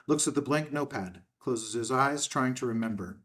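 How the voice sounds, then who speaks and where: Male narrator for screenplay action lines, measured and terse, medium pitch, recorded at some distance. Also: a bit bright. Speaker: narrator reading stage directions. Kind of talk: scripted narration at a table read.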